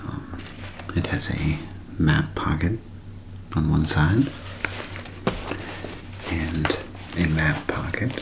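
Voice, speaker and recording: A man doing a whispered ASMR show-and-tell, speaking in a hushed, unhurried way with gentle pauses. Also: a bass voice, gravelly, heavy background noise. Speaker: ASMR creator.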